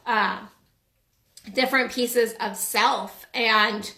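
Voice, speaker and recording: High, bright-voiced woman coach. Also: gravelly. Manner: rambling, earnest, with frequent restarts and occasional swearing. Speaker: life coach. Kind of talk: casual livestream monologue. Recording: roomy echo.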